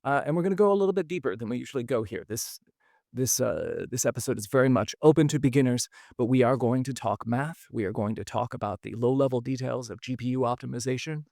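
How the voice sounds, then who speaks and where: A man hosting a podcast, telling a history story in a relaxed, conversational way, with frequent fillers. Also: medium pitch, quick pace, gravelly, dry recording, clean studio sound. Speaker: podcast host. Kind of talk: casual storytelling narration.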